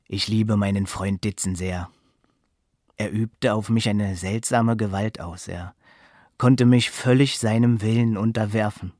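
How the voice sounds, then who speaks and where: A man's voice: lowish voice, steady tone, unhurried, a little mellow, no room sound, clean studio sound. A man playing a submissive, regretful young student voicing a confessional farewell letter in a radio play. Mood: regretful, resigned.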